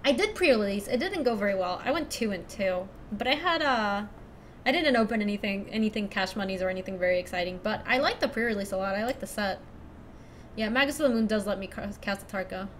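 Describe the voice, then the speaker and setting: A young woman from Ecuador chatting casually through a card game stream, thinking out loud and laughing often. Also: mid-range voice, fast, a little hiss or hum. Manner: chatty, giggly, thinks aloud to chat. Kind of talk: casual live-stream commentary.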